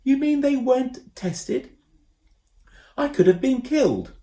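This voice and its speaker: A man doing a squeaky panicky young boy. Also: animated, takes it slowly, dark tone, full character voice. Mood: indignant. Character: timid, flustered young boy. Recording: roomy echo.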